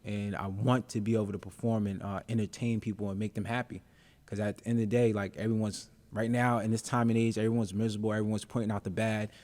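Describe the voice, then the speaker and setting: A young man chats casually as an interview guest, his voice sitting a little low. He talks in a relaxed, unhurried way, filling pauses with ums and uhs as he gathers his thoughts. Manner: laid-back, easygoing, many fillers like um and uh.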